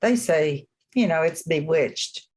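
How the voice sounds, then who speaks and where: A woman's voice: low voice, expressive, a little mellow, exaggerated voice. A woman playing an elderly small-town local in a radio play, telling old lore in a folksy, matter-of-fact way. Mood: folksy, mysterious. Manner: folksy, unhurried storytelling.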